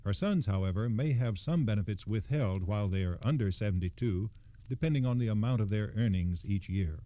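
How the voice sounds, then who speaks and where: A man's voice: on the low side, fairly quick, mellow, no echo. A man giving formal, explanatory scripted narration in the manner of 1960s radio. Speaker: radio storyteller.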